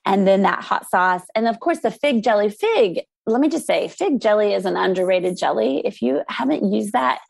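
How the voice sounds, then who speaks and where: An enthusiastic American woman chats as an interview guest. Her voice has noticeable creak and sits at a middling pitch. The sound is close and dry. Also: lively intonation, darkish tone, no echo. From United States, grew up in the country. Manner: enthusiastic, chatty, quick to laugh. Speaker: interview guest, food truck operator. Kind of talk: casual interview chat.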